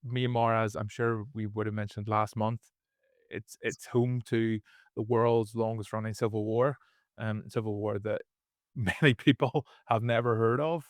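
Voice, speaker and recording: A man's voice: on the low side, steady tone, measured pace, no room sound, clean studio sound. Northern Irish man co-hosting a podcast. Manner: explanatory, repeats words when restarting. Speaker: podcast co-host. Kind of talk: casual conversational podcast.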